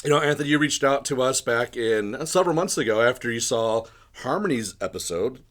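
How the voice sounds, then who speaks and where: A man hosting a casual podcast interview, his voice a little hoarse from bronchitis. He talks in a loose, rambling way, going off on tangents and often clearing his throat. His pitch sits in an ordinary middle range. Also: animated, a little fast, a bit bright. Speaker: podcast host.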